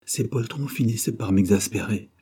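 A man acts out a gruff militia commander from a novel. He is blustering and short of patience, with a husky voice and a brusque, forceful way of barking at people. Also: on the low side, moderate pace. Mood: exasperated.

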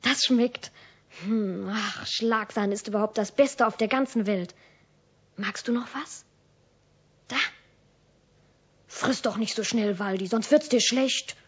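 A woman's voice: fairly high pitch, slow pace, long pauses, breathy edge. A woman playing a cheeky, stubborn young boy who protests insistently in a children's radio play. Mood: gleeful, greedy. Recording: dull, lo-fi old radio recording.

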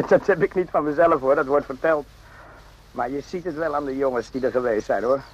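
An actor playing a naive, randy young soldier in a radio drama, rambling and jokey in an eager, confiding way. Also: male voice, mid-range voice, fairly quick, natural voice. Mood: eager, joking. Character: chatty, naive, randy young army soldier, former circus hand. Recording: muffled lo-fi old radio recording.

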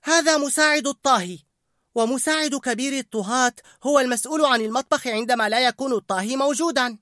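An excitable young male rat from a storybook, bursting to prove his kitchen knowledge, as a woman puts him on. The voice sits high with a bright, keen edge, recorded close and dry. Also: no echo. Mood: excited. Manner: excited, quick to show off. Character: eager young male rat who loves cooking.

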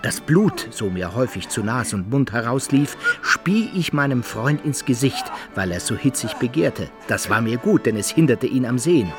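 A male adventurer played by an actor recounts his exploits for a radio drama. His storytelling is measured and literary, at a medium pitch, with music prominent underneath. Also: sing-song, lightly acted. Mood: measured recounting. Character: adventurer recounting his brawls and travels, Simplicissimus as narrator. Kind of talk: literary radio drama narration in old-fashioned German.

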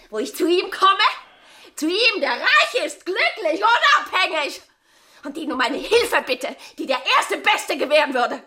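An actress playing a desperate, passionate married woman in a German radio play, with intense delivery and a breathy voice. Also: sits high, sharp tone, full character voice. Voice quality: breathy, often whispering. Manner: intense, dramatic.

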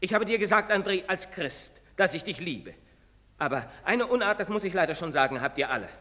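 An actor playing an earnest priest in a stage drama, pleading and moralizing in rhetorical style. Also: male voice, sits high, lively intonation, a little fast, pushed and bright, full character voice. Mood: agitated, pleading. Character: earnest, preaching priest, later old and guilt-ridden. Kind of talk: theatrical stage drama acting. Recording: reverberant theatre stage recording.

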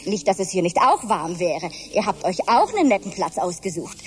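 A woman's voice: on the low side, very animated, brisk, slight background noise. An actress playing a genteel, snobbish middle-aged mother who panics easily, in a theatrical, haughty radio drama manner. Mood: mimicking, chatty. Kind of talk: German radio drama acting.

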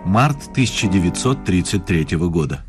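Impersonal scene announcements for a radio drama. His male voice is very low, set against loud piano music. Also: lively intonation, normal speed. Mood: solemn. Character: impersonal man announcing scene places and dates. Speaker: narrator. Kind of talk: radio play narration.

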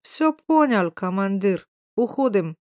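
A woman's voice: mid-range voice, expressive, slow pace, a little mellow, dry recording. A woman reader puts on a big, swaggering man from a storybook, proud and used to being obeyed. He speaks in blunt, guttural bursts with a Caucasian accent. The sound is dry and close. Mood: brisk. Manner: punctuates speech with interjections, blunt short phrases.

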